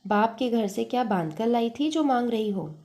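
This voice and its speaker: A woman's voice: on the high side, animated, normal speed, warm tone. A woman voicing a scornful, sarcastic aunt who scolds and taunts in a storybook reading. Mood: scornful, taunting. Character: venomous scolding aunt, shrill-tempered and taunting. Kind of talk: Hindi storybook narration.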